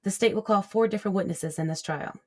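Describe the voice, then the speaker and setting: A woman's voice: medium pitch, quick pace, husky, lightly acted. A woman playing a confident, formal state prosecutor addressing a jury assertively in an audio drama.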